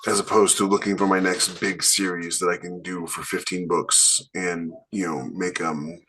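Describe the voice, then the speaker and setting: A man's voice: low-pitched, little pitch movement, normal speed, noisy background. A man co-hosting a podcast chats casually and rambles, with many fillers and restarts. He is a writer talking shop with friends. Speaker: podcast co-host, author. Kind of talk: casual panel chat.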